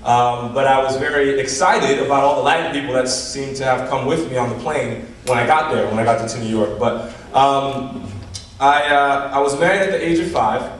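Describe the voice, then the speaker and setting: Young poet from the Dominican Republic, echoey hall. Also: male voice, mid-range voice, normal speed. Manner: hesitant chat, then rhythmic, punchy poem delivery. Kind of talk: spoken word poetry. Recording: echoey hall, far from mic.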